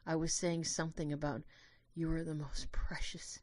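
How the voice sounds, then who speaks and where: Woman playing devoted young narrator in an audio drama, lowish voice, very emotional, dry close recording. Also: normal speed, no room sound. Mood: earnest, tense. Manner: emotional, intense, expressive. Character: anguished, devoted young human narrator named Lucas.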